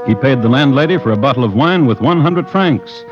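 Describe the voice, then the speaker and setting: A man's voice: mid-range voice, animated. Dramatic male radio narrator over music. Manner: deliberate, dramatic, literate. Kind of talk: dramatic scripted old-time radio narration. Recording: muffled old radio recording.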